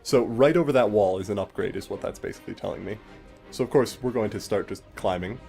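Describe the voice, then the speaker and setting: A man's voice: fairly low pitch, quick pace, creaky, a little mellow. Guy doing let's play commentary, explains stuff casually, bit sweary, game music behind him.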